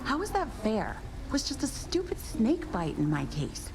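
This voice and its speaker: A woman's voice: a little high, huge pitch swings, normal speed, background noise. A woman voicing a chatty young nymph in a video game, breezy, casual and sardonic. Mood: breezy, wry.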